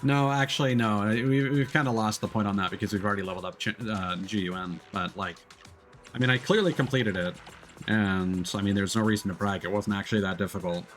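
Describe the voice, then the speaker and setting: Low-pitched man rambling over game music. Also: fairly quick. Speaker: livestreamer playing a video game. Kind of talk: casual gameplay commentary, thinking aloud.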